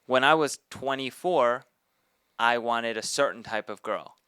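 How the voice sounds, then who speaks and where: Bright crisp young male host. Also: medium pitch, unhurried, no echo, studio quality. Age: 20s. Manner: candid, reflective. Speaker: podcast host. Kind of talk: casual podcast conversation.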